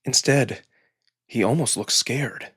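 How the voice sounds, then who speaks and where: A man with a husky voice reading first-person fiction aloud as a dramatic narrator. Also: medium pitch, takes it slowly, a bit bright, no room sound, studio quality. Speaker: narrator and performer of a visual novel reading. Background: background music.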